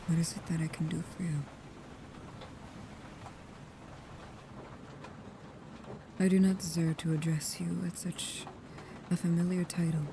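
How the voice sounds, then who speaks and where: Over clearly audible background noise comes a woman acting as a female vampire protector in an ASMR roleplay. Her voice is on the low side, soft and intimate, often sinking to a whisper. She sounds devoted and proud yet guarded, and speaks in slow, halting phrases. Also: lightly acted. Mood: deferential, tender.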